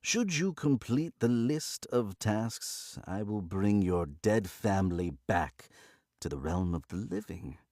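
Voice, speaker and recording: A man with a stuffy nose from allergies, voicing a glowing, mysterious deity in a slow, measured way. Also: on the low side, lively intonation, a little mellow, no room sound, clean studio sound. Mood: solemn.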